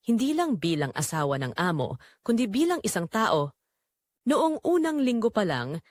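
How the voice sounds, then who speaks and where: A woman's voice: medium pitch, dry recording, clean studio sound, natural voice. Woman playing a lonely wife, expressively confessing. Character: Celine, lonely wealthy wife confessing forbidden longing. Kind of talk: dramatic first-person story narration.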